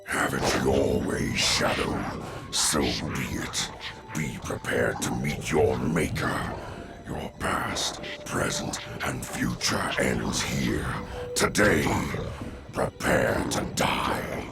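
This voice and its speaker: A man playing a menacing, imperious alien overlord in a video game, slow and theatrical with a gravelly, booming voice. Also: full character voice. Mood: menacing, wrathful. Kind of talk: video game cutscene acting. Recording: loud music over the voice.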